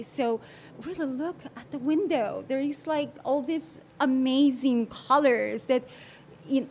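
A woman's voice: a little high, vocal fry, slight background noise. Effusive woman artist from Peru. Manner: enthusiastic, effusive. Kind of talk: interview.

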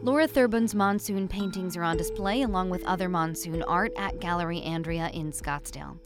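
A woman radio reporter narrating a news feature in a measured, reflective way. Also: lowish voice, music in the background.

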